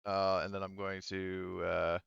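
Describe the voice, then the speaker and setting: Male game master, deep-ish and clean-toned, halting and casual, close dry mic with slightly dull sound. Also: flat delivery, creaky, a bit bright, clear, resonant tone, dry recording. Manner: halting, thinking aloud, casually profane. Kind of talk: casual rules talk and narration at an online tabletop game.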